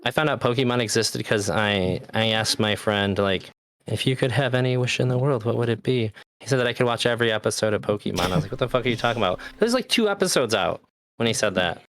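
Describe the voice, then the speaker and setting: A man's voice: mid-range voice, quick pace, a bit bright, dry recording. A man in his thirties chatting casually, loose and talkative, swearing now and then. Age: 30s. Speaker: podcast host. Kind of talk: casual chat.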